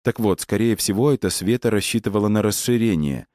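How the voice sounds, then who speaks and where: A man's voice: on the low side, brisk, darkish tone, no room sound, studio quality, lightly acted. A man voicing a bold, analytical boy in an audiobook story, reasoning things out step by step. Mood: reasoning, confident. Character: bold, analytical boy, eager to justify himself.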